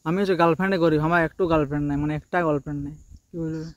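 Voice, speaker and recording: Very fast-talking, high-pitched young Bengali man. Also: no echo.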